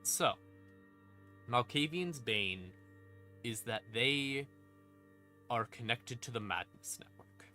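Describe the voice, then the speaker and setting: A man with a bright, forceful voice talks like a casual streamer walking viewers through lore. He is informal and emphatic, with swearing mixed in. His pitch sits in an ordinary middle range, and ambient music plays behind him. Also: lively intonation, slow pace, pauses often. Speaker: live streamer, host. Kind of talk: casual lore explainer on a livestream. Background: creepy ambient music.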